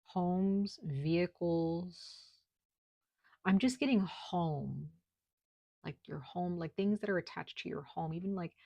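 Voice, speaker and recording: A woman giving a casual tarot reading at home, speaking softly at times and peppering her talk with "like" and "okay?". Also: lowish voice, slow pace, vocal fry, no room sound. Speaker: tarot reader. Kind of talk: casual spiritual reading to camera. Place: at home, noise outside.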